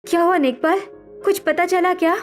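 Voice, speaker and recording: A woman's voice: very high voice, moderate pace, over music. A woman voicing a determined, anxious young daughter-in-law in a cartoon story, earnest and emphatic. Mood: urgent. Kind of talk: dramatic Hindi cartoon story acting.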